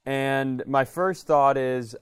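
A man's voice: mid-range voice, fairly even intonation, slow pace, smooth, no echo. A man co-hosting a comedy podcast, telling stories casually and riffing in a conversational way. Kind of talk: casual comic storytelling.

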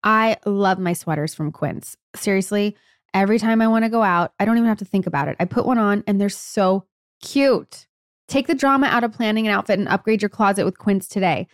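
A woman's voice: mid-range voice, a little fast, no room sound, studio quality. A woman podcast host doing a casual, scripted sponsor read in a personal first-person style.

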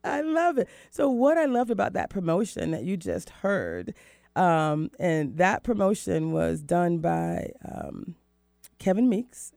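A woman's voice: fairly low pitch, vocal fry, mellow, dry recording, studio quality. A woman hosting a radio talk show in an emphatic, enthusiastic, conversational way. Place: dry radio studio.

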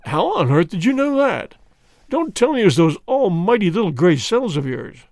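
A man's voice: high voice, big pitch swings, moderate pace, a little mellow, dry recording, clean studio sound. A man reading the part of a breezy, chummy middle-aged police inspector who is mildly condescending. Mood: hearty.

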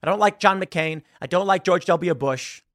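A man's voice: high voice, normal speed, a bit bright, dry recording, clean studio sound. A man in his thirties hosting live political commentary, impassioned and sarcastic, mocking the people he quotes. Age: 30s.

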